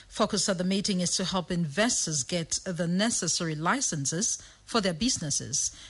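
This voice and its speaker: A woman's voice: on the low side, fairly bright tone, husky. A woman with a Cameroonian accent reading scripted news as an anchor.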